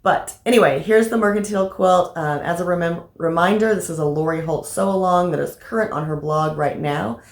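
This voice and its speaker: A woman with a slightly raspy voice from allergies, chatting casually about her crafting progress with lots of fillers. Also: on the low side, fairly quick, hardly any pauses. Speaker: crafting vlogger. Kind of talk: casual show-and-tell vlog. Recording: echoey room.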